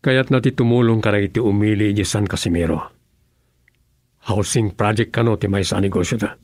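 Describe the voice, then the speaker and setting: An actor in an Ilocano radio drama playing an earnest male governor who speaks calmly and sincerely. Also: fairly low pitch, moderate pace, no room sound, studio quality. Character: earnest adult male governor devoted to public service.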